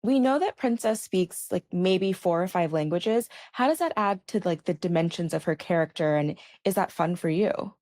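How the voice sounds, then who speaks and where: A woman co-hosting a podcast who asks her guests curious, lively questions. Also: on the low side, moderate pace, hardly any pauses. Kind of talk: interviewing guests.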